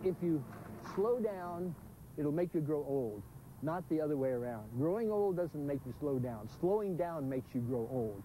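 A man's voice: on the high side, animated, moderate pace, mellow. An eighty-year-old man, a cyclist, talking cheerfully with chuckles in an outdoor interview on a lapel mic. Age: 80s. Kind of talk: casual interview remarks. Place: outdoors on the road. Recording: noisy outdoor field recording.

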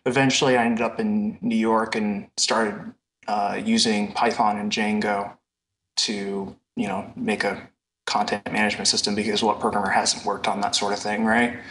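A man's voice: fairly low pitch, fairly bright tone, slight background noise, poor audio. A man giving casual, explanatory answers as a programmer guest in a technical interview.

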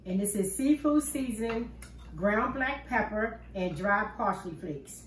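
A woman's voice: medium pitch, expressive, unhurried, a little hiss or hum. An older woman hosting a home cooking video, explaining each step in a homey, easygoing way from her kitchen. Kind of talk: casual cooking demonstration. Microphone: far camera on the counter. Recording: roomy kitchen sound from a distance.

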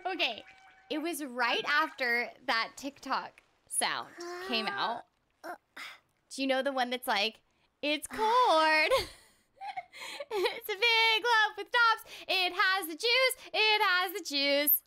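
Young woman chatting on stream, bright swoopy voice, exuberant and theatrical. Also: high voice, big pitch swings, slow pace. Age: young adult. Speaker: streamer and voice actor. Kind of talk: casual livestream chat with acted-out quotes. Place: small indoor room, streaming over a game.

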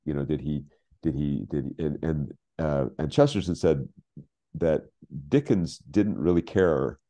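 Very deep, mellow older man. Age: 60s. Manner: halting, repeats phrases for emphasis. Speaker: guest, writer. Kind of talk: reflective interview conversation. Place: home room with bookshelves, on a video call. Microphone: video call.

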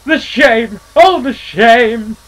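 A man voicing a pompous, fussy male steam engine who is prim and quick to take offence. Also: very high voice, fairly bright tone, voice pushed up, full character voice, poor audio. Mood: wounded. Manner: prim, fussy, plummy phrasing.

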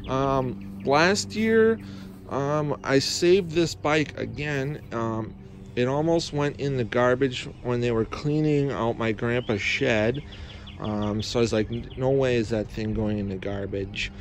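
A man's voice: medium pitch, slow. A young adult man giving a casual, enthusiastic, unscripted review in colloquial speech. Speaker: vlogger reviewing vintage bikes. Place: outdoors, in a yard and driveway. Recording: outdoor handheld recording with ambient noise.

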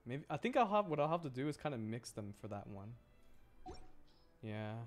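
A man's voice: medium pitch, sing-song, moderate pace, soft background music. A young adult man giving casual live commentary as he plays a video game, muttering to himself and exclaiming in frustration. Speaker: streamer playing a video game.

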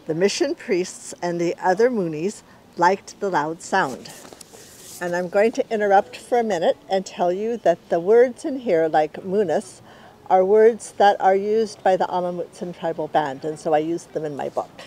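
A woman's voice: fairly low pitch, moderate pace, dark tone, low-quality recording. American woman in her sixties reading aloud. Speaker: author reading her picture book aloud. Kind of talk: storybook read-aloud. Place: outdoor walled courtyard in daylight.

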